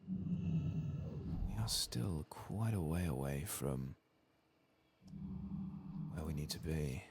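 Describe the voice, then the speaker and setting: A man doing soft-spoken, whispery ASMR game commentary, murmuring unhurriedly to himself as he plays. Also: a bass voice, expressive, extremely slow, slightly rough. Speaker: streamer playing a fantasy role-playing game. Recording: faint background noise.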